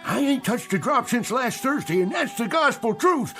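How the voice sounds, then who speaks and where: An excitable folksy barfly. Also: male voice, extremely high pitch, normal speed, soft background music, exaggerated voice. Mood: earnest. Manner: folksy, ungrammatical speech. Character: rough, excitable working-class barfly. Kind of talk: radio drama acting.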